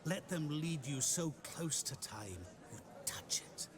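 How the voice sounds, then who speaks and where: An actor playing a sly, all-knowing mysterious male stranger in a game cutscene, talking in a smooth, theatrical, mocking way. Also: a little high, expressive, slow pace, crowd noise. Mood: teasing lecture. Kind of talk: video game cutscene acting.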